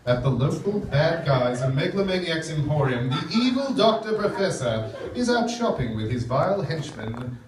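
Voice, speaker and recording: A man's voice: on the high side, very animated, normal speed, crowd noise. A man playing a pompous stage-show narrator who fancies himself a hero, theatrical and dramatic. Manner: grandiose, dramatic. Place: theatre stage, live performance. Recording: echoey theatre stage from far off.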